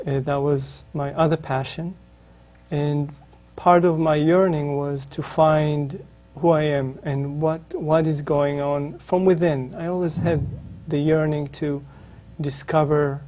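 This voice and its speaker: Hesitant Israeli man, dark and flat. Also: a little high, slow pace. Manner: hesitant, many ums and restarts. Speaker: author, interview guest. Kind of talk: reflective interview answers.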